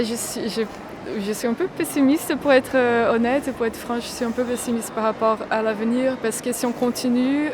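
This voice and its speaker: A woman answering a street interview, thoughtful and hesitant, with many pauses. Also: fairly high pitch, background noise. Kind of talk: street vox pop.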